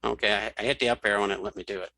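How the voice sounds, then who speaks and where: Gravelly, bright-voiced man giving a tutorial. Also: fairly low pitch, fairly even intonation, racing, dry recording. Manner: informal, talks through each keypress. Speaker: screen reader tutorial instructor. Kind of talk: casual step-by-step software demonstration, thinking aloud.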